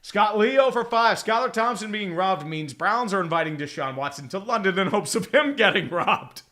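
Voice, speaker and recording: A man's voice: high-pitched, expressive, normal speed, bright, forceful tone. A man giving casual, profane live fan commentary on a football game, calling plays and rambling with exasperated restarts. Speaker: fan play-by-play streamer.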